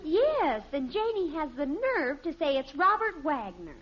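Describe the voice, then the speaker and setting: An actress playing an earnest, exasperated American teenage daughter in a 1950s radio sitcom, on an old recording. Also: female voice, high voice, very animated, unhurried, highs cut off, very noisy.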